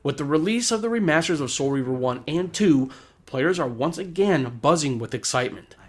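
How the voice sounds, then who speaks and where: A man's voice: on the high side, expressive, normal speed, husky. A man reading scripted video essay narration in a polished, formal, explanatory way.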